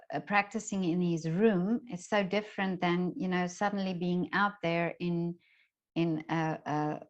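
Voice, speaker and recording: A woman hosting a casual conversational interview, phrasing hesitantly and repeating words, on a dull lo-fi recording. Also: fairly low pitch. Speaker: interviewer and host.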